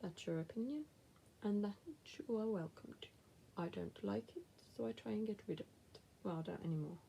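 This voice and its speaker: A woman's voice: mid-range voice, brisk, vocal fry, dark tone, a little hiss or hum, rough recording. A woman in her thirties with a West Midlands accent, chatting casually to camera as she answers viewer questions, blunt and with whispered asides. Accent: West Midlands English. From England.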